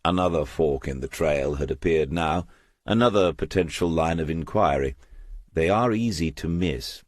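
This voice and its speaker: A man narrating crime fiction from a script in a measured, literary storytelling manner. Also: rumbling low, no echo, clean studio sound. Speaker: audiobook narrator.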